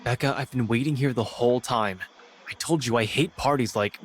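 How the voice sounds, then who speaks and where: A man's voice: mid-range voice, brisk, a little hiss or hum, lightly acted. An actor voicing a defensive, impatient young man in a visual novel game, exasperated and protesting.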